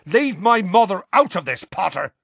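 A man's voice: high-pitched, big pitch swings, moderate pace, gravelly, husky, no room sound, pitched above the performer's own voice, heavily acted. A man doing a heated, snapping teenage boy. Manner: snapping, yelling, contemptuous.

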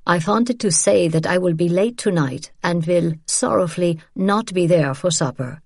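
A woman's voice: lowish voice, normal speed, a little mellow, dry recording, studio quality. A woman voicing a stiff, formal German army captain who speaks in stilted, overly polite phrases.

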